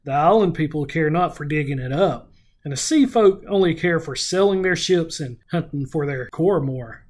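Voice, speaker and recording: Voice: medium pitch, expressive, normal speed, a little mellow, slightly rough. A podcast host recapping a fantasy novel in a casual read-along chat. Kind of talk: book recap with casual banter.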